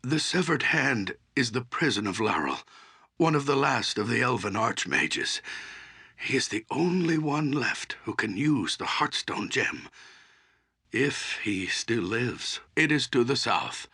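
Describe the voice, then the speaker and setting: An actor playing an aged, solemn druid in a fantasy game, with a husky, breathy voice and a halting delivery. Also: male voice, mid-range voice, animated, bright, forceful tone, exaggerated voice. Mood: weak, dying.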